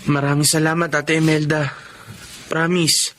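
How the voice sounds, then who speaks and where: Earnest young Filipino student brother, protective, concerned, fairly high male voice, radio drama, faint noise. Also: flat delivery, a little slow, a bit bright. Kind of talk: Tagalog radio drama acting.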